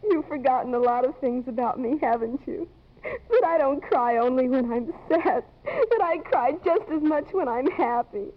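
An actress in 1950s radio drama playing a young, earnest, tender college student and daughter. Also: female voice, sits high, animated, moderate pace. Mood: tearful, forgiving. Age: young adult. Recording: muffled old 1950s radio broadcast.

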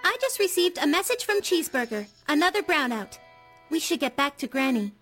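Tiny, very high-pitched AI creature. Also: female voice, big pitch swings, fairly quick, a bit bright, faint music behind. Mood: matter-of-fact. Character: small adorable AI creature, blunt and disapproving. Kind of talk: comedy audio drama acting.

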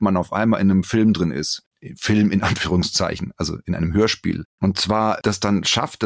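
A man with a low voice speaks in a very dry, close sound. He talks casually, like an interviewed stage performer, explaining things thoughtfully with pauses along the way. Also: no echo. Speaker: interviewed voice actor and live performer. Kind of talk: casual interview talk, anecdotes.

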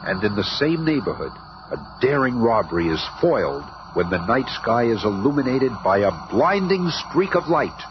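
A man's voice: mid-range voice, very animated, moderate pace, lightly acted. A man playing a theatrical, suspenseful narrator in a 1970s superhero radio play. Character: excitable male comic-book adventure narrator. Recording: muffled, noisy 1970s radio recording.

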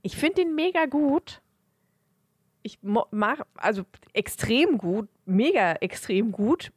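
A woman's voice: on the high side, big pitch swings, slow pace, slightly rough, dry recording, clean studio sound. A woman talking casually as a podcast co-host, giving her own rankings in everyday conversational speech. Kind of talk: casual chat, ranking films.

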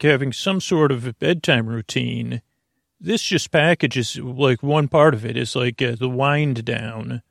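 A man hosting a bedtime podcast, rambling through an intro in a creaky voice with a meandering, halting, muttering delivery. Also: mid-range voice, dry recording, clean studio sound. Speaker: podcast host.

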